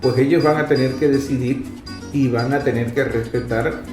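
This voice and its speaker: A man's voice: mid-range voice, fairly even intonation, moderate pace. Man commentating in an echoey room. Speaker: political commentator and programme host. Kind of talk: explanatory political commentary. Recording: roomy echo with guitar music behind.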